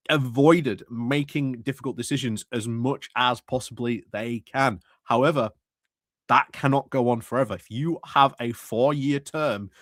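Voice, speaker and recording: A man's voice: mid-range voice, fairly bright tone, no room sound. Sardonic man commentating, close and dry. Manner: sardonic, scornful, repeats phrases for emphasis. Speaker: streamer commentating on a council meeting. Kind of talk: casual live political commentary.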